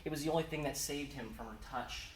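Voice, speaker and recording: A man's voice: a little high, fast. A man giving a theatrical, emphatic live reading of his own horror story as its author. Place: indoor convention event room. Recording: roomy, noisy live room, distant mic.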